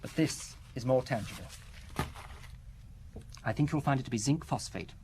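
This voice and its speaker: An actor playing a worried, fragile husband in an audio drama, speaking in a hesitant, halting, self-justifying way. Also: male voice, medium pitch, a little mellow, slight background noise, natural voice. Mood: earnest, uneasy. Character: worried fragile husband who suspects his wife of poisoning.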